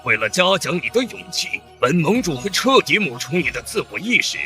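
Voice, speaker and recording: A man voicing an arrogant, godlike alien overlord in an animated comic drama, pompous, contemptuous and menacing. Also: a little high, fairly quick, soft background music, full character voice. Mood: haughty contempt.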